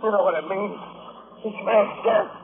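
An anxious, superstitious sailor in an old radio play. His voice sits rather high and he speaks in an earnest, pleading way. The recording is narrow and slightly noisy. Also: normal speed, gravelly. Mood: fearful. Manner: urgent, pleading. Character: anxious, superstitious, soft-hearted shipwrecked sailor.

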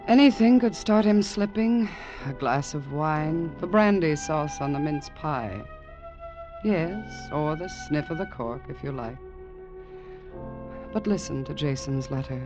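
A woman's voice: deep voice, expressive, takes it slowly, over music. An actress playing a weary, bitterly resigned woman in an old radio drama. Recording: muffled vintage radio recording.

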